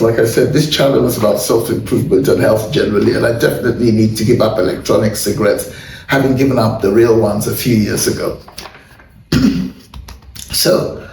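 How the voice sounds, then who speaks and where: A self-deprecating man hosts an easygoing health talk, his voice hoarse and catching with throat clearing. He sits well back from the mic, so the room echoes around him. A faint hum of noise lies underneath. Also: mid-range voice, darkish tone. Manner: candid, self-deprecating, chatty. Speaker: host. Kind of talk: casual chat and viewer shout-outs. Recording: roomy echo, far from mic, faint noise.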